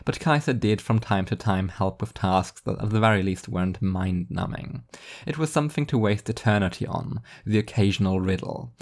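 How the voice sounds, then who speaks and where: Narrator for a light novel, low register, dry and wry, reading scripted prose. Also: moderate pace, vocal fry, a little mellow. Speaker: author reading own story as narrator.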